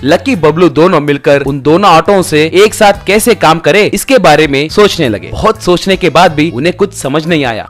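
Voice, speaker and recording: Male narrator, high-pitched voice, scripted storytelling for a simple tale, faint music behind. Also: normal speed, few pauses.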